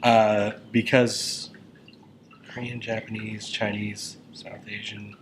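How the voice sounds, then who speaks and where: A man's voice: on the low side, little pitch movement, takes it slowly, low-quality recording. A man co-hosting a show in casual conversation, talking in a rambling, informal way. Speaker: show co-host. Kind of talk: casual chat. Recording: noticeable background noise.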